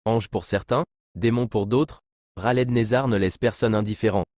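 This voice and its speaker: Low-voiced man reading formal news narration. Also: steady tone, normal speed, darkish tone, no echo, clean studio sound. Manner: formal, literary read-aloud delivery.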